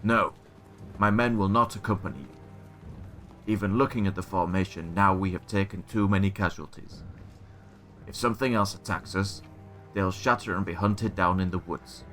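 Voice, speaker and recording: A very low-voiced, grim captain of a fantasy militia, acted by a man. He is wounded, bitter and stubborn. His speech is terse and military, with a forceful, bright edge. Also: moderate pace, faint music behind. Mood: firm. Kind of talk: fantasy audio drama acting.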